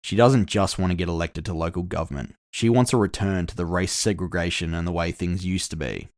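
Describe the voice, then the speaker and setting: Man acting Les, a low, wryly cynical friend. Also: brisk, no room sound, studio quality. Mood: grave, wary. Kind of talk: conversational dialogue in cyberpunk audio fiction.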